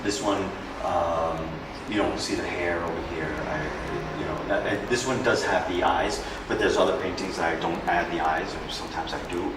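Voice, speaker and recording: A man in his fifties from New York, a painter talking through his paintings at a gallery, halting, with many pauses and fillers. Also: low voice, steady tone, heavy background noise. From New York, USA. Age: 50s. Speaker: painter presenting his work. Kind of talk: gallery walk-through talk. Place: indoor art gallery. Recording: echoey gallery from a distance, music behind. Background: music.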